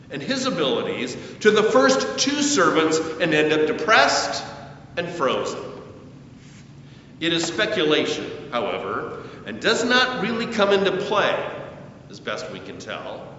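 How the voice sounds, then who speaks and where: Echoey, animated male preacher. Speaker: pastor. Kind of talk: sermon. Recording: echoey hall, distant mic, muffled, faint rumble.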